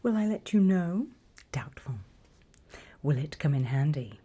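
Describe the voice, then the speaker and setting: A British woman playing a sly, greedy female demon auctioneer in a whispered ASMR roleplay, with a soft breathy voice and a deliberate, coolly questioning manner. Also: low-pitched, lively intonation, full character voice. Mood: dry, teasing.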